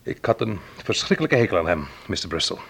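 An actor playing a polite but uneasy club owner in a radio play, speaking formally and hesitantly. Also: male voice, low-pitched, very animated, quick pace, creaky, fairly bright tone, slightly rough, exaggerated voice.

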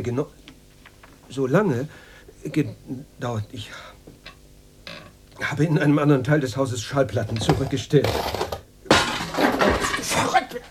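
Flustered bootlicking radio boss, stammery, scared, radio play, noisy humming recording. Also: male voice, a little high, a little mellow. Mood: flustered, servile. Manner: flustered, stammering, obsequious, repeating himself. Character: nervous, servile Moscow radio director terrified of Stalin. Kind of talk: radio drama acting.

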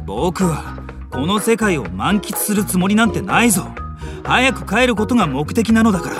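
A man's voice: high voice, sing-song, moderate pace. A man playing a proud, stiff and irritable young older brother in an audio drama, blunt and indignant in manner. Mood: haughty, piqued. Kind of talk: animated Japanese audio drama acting. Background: music and animal sounds.

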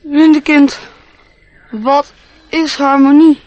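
High-voiced, innocent little boy. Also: flat delivery, takes it slowly, darkish tone, no echo. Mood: wondering. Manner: naive, questioning. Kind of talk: radio play acting.

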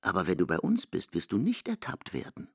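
A man's voice: sits low, wildly swooping pitch, fairly quick, slightly rough, no room sound, studio quality. A man performing in a literary radio play, reading as narrator and voicing dialogue.

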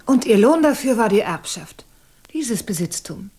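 A woman's voice: a little high, big pitch swings, normal speed, dark tone, slightly rough, heavily acted. An actress playing a frank, self-assured lady in a German radio crime drama, speaking candidly with a teasing edge. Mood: coolly pointed.

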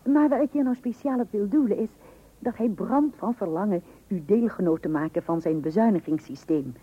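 An actress playing a long-suffering, dryly ironic wife in an old radio comedy, with a Netherlands Dutch accent. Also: female voice, mid-range voice, expressive, moderate pace, slightly rough. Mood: wry. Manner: dryly ironic, mock-patient. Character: wry, long-suffering wife humouring her pompous husband. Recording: muffled old radio recording.

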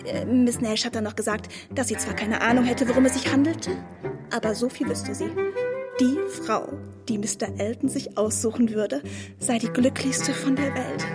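A young woman acting a naive, adoring gentlewoman in a period radio play, with a breathless, gossipy, effusive manner. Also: on the high side, steady tone, moderate pace, over loud music, lightly acted. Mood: excited gossip.

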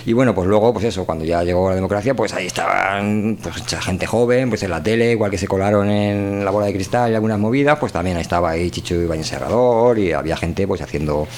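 A man's voice: low-pitched, quick pace, few pauses, a little mellow, a little hiss or hum. A man with an accent from Spain hosting a community radio show in a casual, rambling, colloquial way. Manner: rambling, informal.

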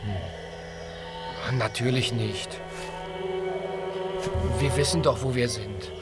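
A performer playing a plucky, resourceful young piglet in a children's radio play, expressive and determined. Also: mid-range voice, very slow, loud music behind the voice. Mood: stubbornly confident.

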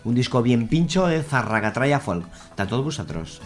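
Guy doing casual radio music intros, kinda hesitant, middling pitch, music playing behind him. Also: big pitch swings, normal speed. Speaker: radio host.

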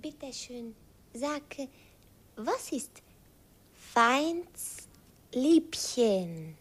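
An actress playing a sweet, playful young Hungarian girl in a radio play, speaking halting German with a Hungarian accent and mixing up words. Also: fairly high pitch, very animated, husky, heavily acted. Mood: charmed, curious. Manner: broken, halting German with wrong word order.

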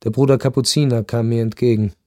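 An actor playing a melancholy aristocrat who narrates a literary radio drama in a brooding, deliberate way. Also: male voice, mid-range voice, fairly even intonation, warm tone, no room sound, clean studio sound, lightly acted. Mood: desolate. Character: melancholy Viennese aristocrat recounting his lonely last night. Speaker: first-person narrator. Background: string music.

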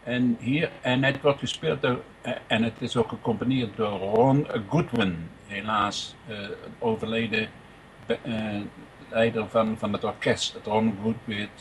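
A man presenting music informally, speaking off the cuff in a rambling, halting, chatty way. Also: lowish voice, steady tone, a little hiss or hum, rough recording.

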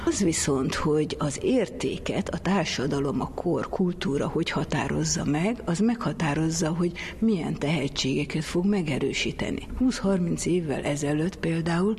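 A man's voice: hardly any pauses, a little mellow. A man giving explanatory answers as an expert guest in an interview. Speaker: expert guest on talent development. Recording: faint low rumbling noise.

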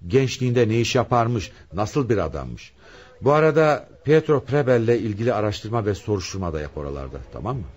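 An actor playing a commanding police commissioner in a radio play, authoritative, deliberate and probing. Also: male voice, on the low side, big pitch swings, a little mellow, lo-fi sound. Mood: firm, insistent. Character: commanding male police commissioner leading a murder investigation. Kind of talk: radio theatre acting.

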